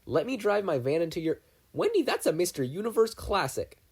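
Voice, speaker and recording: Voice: mid-range voice, very animated, brisk, darkish tone, breathy edge, voice pushed up. A reader voicing a stubborn older man who runs a shop, dismissive and blustering. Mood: blustery.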